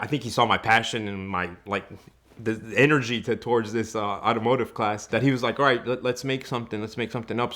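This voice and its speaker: A man in his thirties with a fairly low voice, recounting his own story as a guest on a show. He talks with eager energy, leaning on "you know" and "like" and restarting his phrases as he goes. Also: a bit bright. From Ecuador. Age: 30s. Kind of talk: telling his life story to a host.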